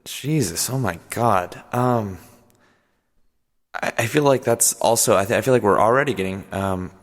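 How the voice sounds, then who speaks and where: Man acting as apologetic interviewer, low voice, hesitant, politely probing, improvised comedy radio play. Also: moderate pace, big-room sound, natural voice. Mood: apologetic, uneasy. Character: awkward, apologetic male podcast interviewer. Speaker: host interviewer.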